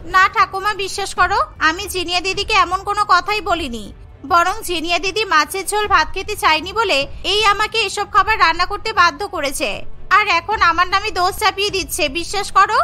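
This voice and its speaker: A woman's voice: extremely high pitch, a little fast, sharp tone, heavily acted. A woman voicing an earnest, wronged young village servant girl who pleads, in a cartoon story. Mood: pleading, upset. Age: young adult. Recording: music behind the voice.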